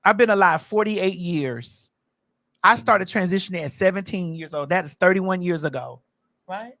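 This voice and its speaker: Fortyish woman co-host, loud and blunt, bright sharp voice, dry close sound. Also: lowish voice, normal speed, no echo. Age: 40s. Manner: loud, blunt, profane, animated. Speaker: podcast co-host. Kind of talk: casual chat.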